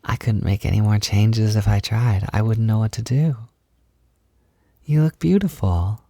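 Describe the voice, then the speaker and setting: A gentle, encouraging boyfriend figure, played by a man in an intimate audio roleplay. His soft voice is relaxed and mellow with a noticeable creak, often easing toward a whisper. He phrases things casually and soothingly, in a very dry, close recording. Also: fairly low pitch, a little slow, no room sound. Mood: tender, reassuring. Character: gentle, reassuring male partner doing a beginner's makeup. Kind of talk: intimate ASMR-style roleplay, softly spoken.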